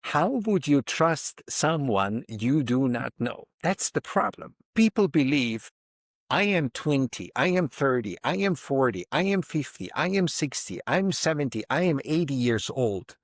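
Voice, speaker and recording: A man's voice: medium pitch, very animated, unhurried, no echo, clean studio sound. A man in his fifties, a podcast guest speaking reflectively and emphatically, often voicing inner thoughts as quotes. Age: 50s. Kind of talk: reflective interview talk.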